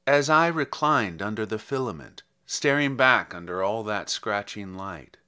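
A man gives a literary poetry reading in a bright, forceful voice. His phrasing is measured and slow, with dramatic emphasis. The pitch moves in an animated way as he delivers his verse. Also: clear, resonant tone. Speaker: poet reading own poems.

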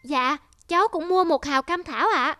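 A woman's voice: high voice, normal speed, natural voice. A woman voicing a playful, polite little village boy in a cartoon. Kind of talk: cartoon character acting. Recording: music under the voice.

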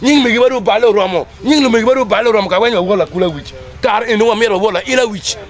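Middle-aged Ugandan male, high-pitched, speaking publicly for his community group. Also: rapid-fire. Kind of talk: spoken public statement to camera. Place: outdoors under trees among a gathering.

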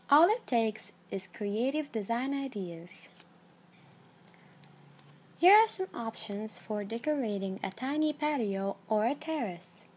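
A woman with a fairly high voice reads a scripted, numbered list. She takes it slowly and evenly, each item spoken with measured calm and no rush between points. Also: slight background noise. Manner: measured, even read-aloud delivery. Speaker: narrator.

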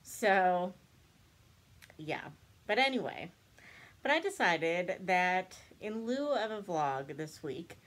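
Slow-talking young woman with a stuffy cold. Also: lowish voice, big pitch swings, a bit bright. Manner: chatty, rambling, laughs often. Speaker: book and craft vlogger. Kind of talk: casual chat to camera. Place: small room indoors, seated before a cabinet.

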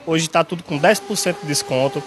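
A young man with a Northeast Brazilian accent giving an on-air sales pitch from a pharmacy into a handheld mic, steady and salesy, often tagging lines with a quick check. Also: soft background music. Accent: Northeast Brazilian Portuguese. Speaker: pharmacy staff member. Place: large bright pharmacy, indoors. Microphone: handheld station mic held by interviewer.

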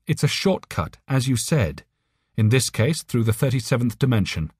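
A man's voice: mid-range voice, very animated, moderate pace, darkish tone, breathy edge, no echo, studio quality, voice pushed up. A man voicing an alien telepathic voice for an audiobook, urgent, friendly and earnest, with careful phrasing. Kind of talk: audiobook character voice.

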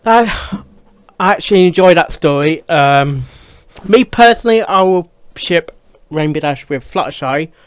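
A man giving casual reaction commentary as a video host, in a halting way that repeats phrases. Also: a little high, expressive, smooth, no room sound. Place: small cluttered room indoors. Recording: slightly dull, dry sound.

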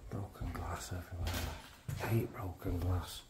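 A British man giving casual, low-key running commentary, musing aloud as he explores. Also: little pitch movement, normal speed. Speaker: urban explorer and vlogger. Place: inside a large derelict building, walking. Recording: faint background noise, indoor ambience.

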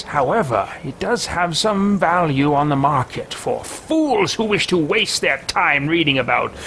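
A man's voice: a little high, a bit bright, a little hiss or hum, exaggerated voice, low-quality recording. A man in his thirties voicing an arrogant, self-absorbed mage adventurer in a pompous, grandiose way, recorded at a desk at home. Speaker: let's play host roleplaying a character. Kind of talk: in-character roleplay over a video game. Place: room at home, indoors.

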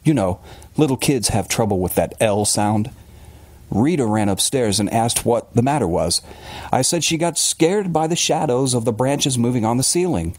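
A man's voice: medium pitch, expressive, normal speed, no echo, pitched above the performer's own voice, close to an everyday voice. A man playing an agitated, defensive working-class father confessing a horror in blunt, colloquial words, as in an audiobook. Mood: uneasy, haunted.

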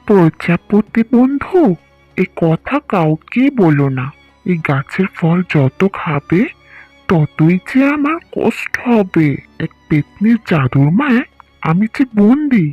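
Brief: man as a gentle cartoon prince, husky and expressive, dull lo-fi sound. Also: high-pitched, slow, dry recording, soft background music, heavily acted. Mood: earnest. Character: young prince trapped in a tree, gentle.

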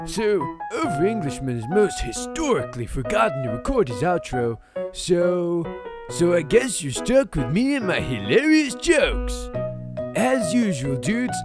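A woman's voice: lowish voice, sing-song, a little slow, over music. A woman voicing a breezy, joking surfer-dude video game character who talks in slangy phrases. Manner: slangy, breezy, mock-grandiose dude talk.

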